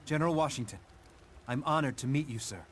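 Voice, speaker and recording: A man's voice: medium pitch, fast, over music, natural voice. Young frontier officer, male actor, mid-range voice, earnest and determined game cutscene delivery, light score underneath. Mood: respectful. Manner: earnest, resolute. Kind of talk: video game cutscene acting.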